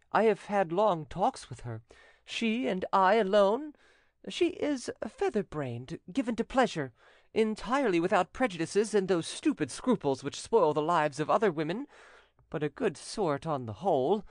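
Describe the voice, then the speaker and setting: A narrator voicing an eager, cocky young nephew in an animated, gushing manner for a storybook. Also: medium pitch, no echo, studio quality, pitched above the performer's own voice, lightly acted.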